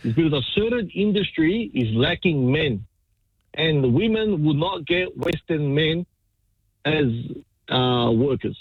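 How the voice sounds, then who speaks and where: An excitable man, chatting as a caller, his voice jumping higher whenever he insists on a point. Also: measured pace, breathy edge, no echo. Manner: excitable, pitch jumps up when insisting. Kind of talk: casual on-air chat. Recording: tinny phone line.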